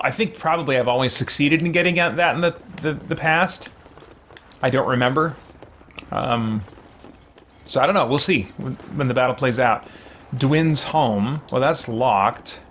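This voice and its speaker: A man's voice: medium pitch, normal speed, fairly bright tone, a little hiss or hum. A man hosting a gaming series, giving reflective, conversational commentary as he thinks aloud. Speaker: host of a roleplay gaming series.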